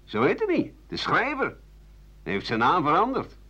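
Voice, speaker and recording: A man acts out scornful townsfolk trading malicious gossip in a radio drama. The delivery is accusing and lively in pitch. The recording is muffled, like an old broadcast. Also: moderate pace, husky, exaggerated voice. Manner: spiteful, accusing. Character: sneering gossiping townsfolk, mocking the old doctor. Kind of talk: 1980 Dutch radio play.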